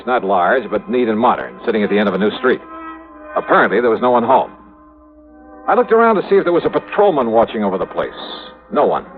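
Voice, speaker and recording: A man's voice: medium pitch, close to an everyday voice. An American actor playing a hard-boiled investigator in a 1950s radio drama, telling his story in terse, wry, clipped phrases. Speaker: actor in the lead role. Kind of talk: 1950s radio detective acting. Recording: vintage radio, tinny narrow band, music underneath.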